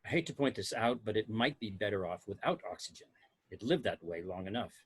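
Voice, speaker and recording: A man in an amateur table read playing a dry, skeptical science officer on a spaceship, measured and deadpan. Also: lowish voice, husky, no echo.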